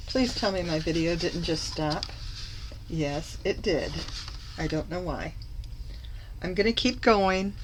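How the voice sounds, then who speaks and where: A woman recovering from a cough, slightly hoarse, chatting through a papercraft tutorial as she works and correcting herself along the way. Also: low voice, a little hiss or hum, low-quality recording. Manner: chatty, self-correcting, talks while working. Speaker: papercraft demonstrator. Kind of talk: live craft tutorial.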